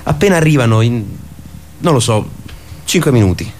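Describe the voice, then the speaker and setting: A man acts out an excitable young boy in a radio drama. He is earnest and eager, a dreamer set on flying. The recording has clear background noise. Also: mid-range voice, moderate pace, lightly acted. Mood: excited, nervous. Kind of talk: radio theatre acting.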